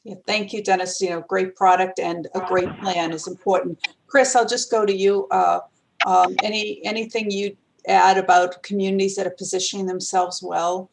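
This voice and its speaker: A woman's voice: medium pitch, level tone, normal speed, creaky. A woman with a Boston accent moderating a panel discussion over a video call, thanking each speaker and passing the floor. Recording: noisy video call audio.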